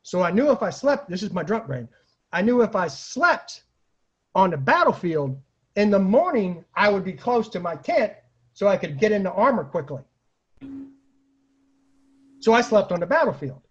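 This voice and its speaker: High-pitched husky man telling funny stories. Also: moderate pace, long pauses. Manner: animated, acts out quoted lines, laughs at own stories. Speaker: storyteller, online show host. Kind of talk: casual comic anecdote telling. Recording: dull lo-fi home recording.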